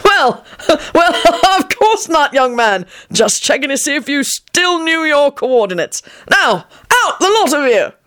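A woman voicing an eccentric, boastful old man with a warbling, croaky voice. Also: high-pitched, very animated, moderate pace, a bit bright, pitched above the performer's own voice. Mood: excited. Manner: cackling, blustering, indignant.

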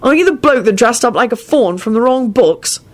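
A young male wizard, a godfather, patient with the child but snapping at a rude guest, voiced by a woman. His voice sits a little higher than hers and moves freely. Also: expressive, moderate pace, close to an everyday voice. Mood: amused. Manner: mild, then snappish.

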